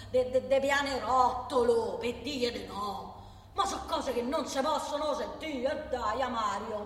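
A woman's voice: high voice, a bit bright, distant mic, slight background noise. An actress playing an exasperated, chatty working-class woman with a Roman accent, rambling indignantly and colloquially in a comic radio-theatre monologue. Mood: exasperated, dismissive. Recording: echoey hall from afar, music behind.

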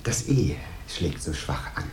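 A man playing a meek, modest office clerk in a radio play, speaking hesitantly and with soft deference. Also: extremely low pitch, sing-song, measured pace, heavily acted. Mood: timid. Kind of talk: 1970s German radio play acting. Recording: roomy, noisy old radio drama recording.

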